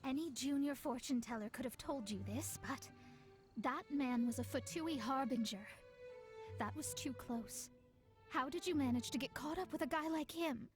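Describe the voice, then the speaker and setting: A woman's voice: sits high, moderate pace, close to an everyday voice. An actress playing a proud, self-assured young female astrologer in a video game, a little haughty, with music behind her. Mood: serious.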